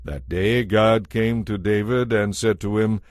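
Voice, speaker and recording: Low-voiced man narrating scripture, dry recording. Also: a little slow, no room sound. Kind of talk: scripted dramatized Bible narration.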